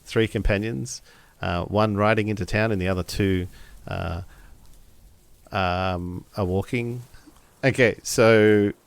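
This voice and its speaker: A man's voice: lowish voice, fairly even intonation, slow, creaky, clean tone, dry recording. A man playing a tabletop role-playing game, narrating casually and hesitantly as he pieces his sentences together.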